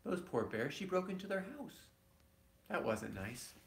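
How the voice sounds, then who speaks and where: A man's voice: high-pitched, sing-song, a little slow. A man reading a children's storybook aloud as a father, adding simple, casual asides to his child.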